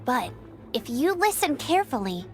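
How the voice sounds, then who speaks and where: A plucky young knight scout, with a very high, lively voice and bold, earnest delivery. It is video game dialogue with music underneath. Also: female voice, normal speed. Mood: resolute. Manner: earnest, plucky, declaring boldly.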